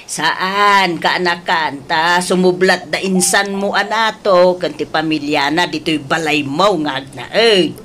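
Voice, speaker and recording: A woman playing a pleading middle-aged mother and aunt in an Ilocano radio drama, speaking slowly and emotively with an Ilocano Filipino accent. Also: on the low side, fairly bright tone, slight background noise. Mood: coaxing. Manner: slow, drawn-out, emotive delivery.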